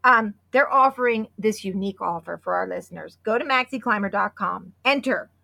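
A woman in her fifties co-hosting a podcast, chatty and excitable, hoarse from losing her voice. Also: medium pitch, fairly quick. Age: 50s. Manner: chatty and excitable, with many restarts. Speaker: podcast co-host. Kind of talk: casual podcast chat with sponsor read.

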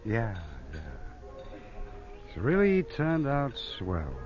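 Casting: bitter male accomplice, 1940s radio noir, unhurried sardonic delivery, muffled old recording. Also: medium pitch, wildly swooping pitch, darkish tone, faint music behind. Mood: bitter, resigned. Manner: sardonic, grudging. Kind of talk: 1940s radio crime drama acting.

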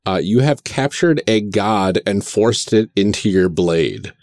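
Low-voiced man, very animated podcast host. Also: measured pace, no echo. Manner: friendly, earnest, appreciative. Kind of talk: casual podcast announcements and banter.

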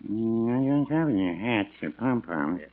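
An actor playing a mild, helpful small-town shopkeeper in a 1940s radio comedy, with a folksy, chuckling manner. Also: male voice, fairly low pitch, animated, unhurried, narrow, tinny audio. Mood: earnest suggesting. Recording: thin, band-limited vintage radio recording.

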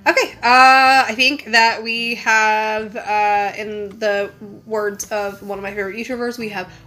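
A woman co-hosting a casual bourbon tasting chat, a little nasal from a cold, chatty and quick to laugh. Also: mid-range voice, steady tone, bright, forceful tone, faint music behind. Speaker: co-host of a bourbon show.

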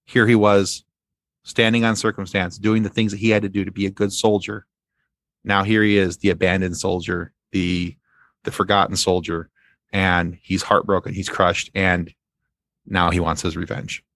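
A man's voice: low voice, little pitch movement, normal speed, fairly bright tone, no echo. A man in a casual fan discussion as a podcast guest, rambling and circling back to repeat his phrases.

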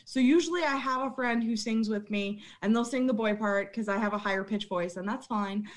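A woman's voice: fairly high pitch, fairly quick. A young woman, a former bartender, chatting as a podcast guest, blunt and swearing freely as she laughs at herself. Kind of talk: casual interview chat.

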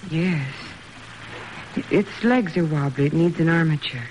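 An actress playing a brisk, encouraging woman sculptor and teacher in a classic radio drama, instructive and approving. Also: low-pitched, a little mellow. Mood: brisk, appraising. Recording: noisy old radio recording.

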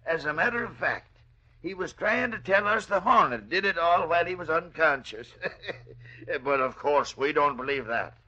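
An actor playing a self-assured police sergeant in a vintage radio drama, hearty and matter-of-fact. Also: male voice, on the high side, expressive, moderate pace, pushed and bright. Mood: amused, dismissive. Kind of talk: 1940s radio drama acting. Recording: dull, band-limited old radio recording.

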